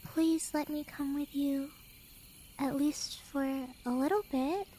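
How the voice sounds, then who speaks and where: A woman's voice: high voice, warm tone, a little hiss or hum, rough recording. A woman playing a playful, teasing, clingy cat beastkin in a flirty ASMR roleplay, with a coy manner. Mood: pleading. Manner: coy, teasing, with drawn-out breathy whispers.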